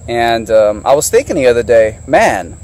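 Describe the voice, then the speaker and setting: A man's voice: mid-range voice, big pitch swings, normal speed, darkish tone. A man giving an informal, off-the-cuff video monologue.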